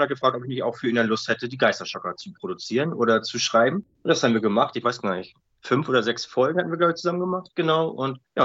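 A man's voice: medium pitch, fairly bright tone, no room sound. A man chatting casually as an interview guest, hesitating often as he tells his stories. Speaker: interview guest, audio drama writer. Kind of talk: casual chat. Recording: dry, dull lo-fi sound.

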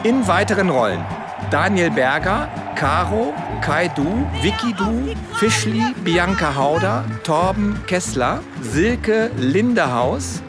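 A male announcer reads radio play credits. His high voice swings animatedly in pitch while keeping a slow pace. It is a scripted read. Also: very animated. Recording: loud music behind.

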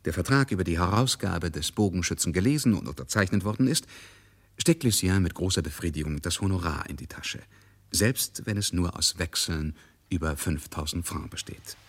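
Radio play narrator, low voice, composed and a bit dry, literary storytelling. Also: male voice, lively intonation, normal speed. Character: male narrator of a literary tale, composed observer. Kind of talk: scripted radio drama narration.

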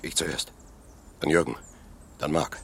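An actor in a German radio play voicing a gruff, commanding leader of a covert raid, curt and authoritative. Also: male voice, rumbling low, fairly even intonation, takes it slowly, fairly bright tone, exaggerated voice. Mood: tense, commanding.

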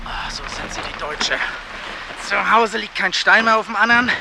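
An actor playing a gruff, weary German army comrade grumbling in a radio drama. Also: male voice, sits high, normal speed. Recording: very loud vehicle noise behind. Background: vehicle noise.

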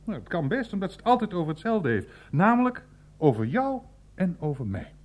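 Animated, blunt older uncle. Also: male voice, high-pitched, brisk. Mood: wry, chatty. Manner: coarse, grumbling, rambling. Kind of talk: naturalistic radio play dialogue.

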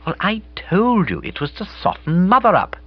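A man reading a storybook, voicing a lively, fun-loving young upper-class woman who talks in a breezy, self-assured way. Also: high-pitched, moderate pace, breathy edge, lo-fi sound, voice pushed up, full character voice. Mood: brisk.

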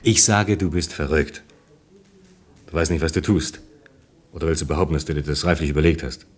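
An extremely deep, rough-edged man's voice in a radio drama. He plays the disapproving partner, stern, terse and exasperated, reproaching the woman he is with. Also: steady tone, a little fast. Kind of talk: naturalistic German radio play acting.

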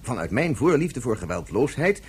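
A man's voice: medium pitch, sing-song, moderate pace, husky. An actor playing an articulate, principled scientist and father in a radio drama, speaking formally and argumentatively. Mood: earnest, reproachful.

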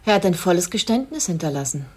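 An actress playing a cool, sceptical society woman in a German radio crime drama, speaking in a measured, pointed way. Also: lowish voice, very animated, slightly rough. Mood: cool warning.

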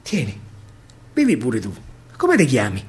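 A man on stage voicing a gentle, protective young soldier with a Roman accent. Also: mid-range voice, wildly swooping pitch, unhurried, breathy edge, voice pushed down, heavily acted. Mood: friendly. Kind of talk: dramatic solo stage storytelling.

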